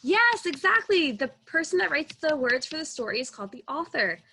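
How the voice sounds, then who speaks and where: A young woman co-hosting a children's read-aloud on a video call, teaching with enthusiastic questions in an animated way. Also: high-pitched, a little fast, a little hiss or hum.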